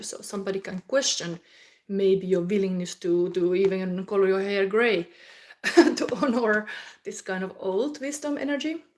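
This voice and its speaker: The Finnish woman you would find in an online tarot video, thinking aloud card by card, groping for words in halting second-language English. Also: mid-range voice, a little mellow. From Finland. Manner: rambling, searches for words, halting non-native English. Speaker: tarot reader hosting a psychic reading. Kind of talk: casual spontaneous tarot reading to viewers.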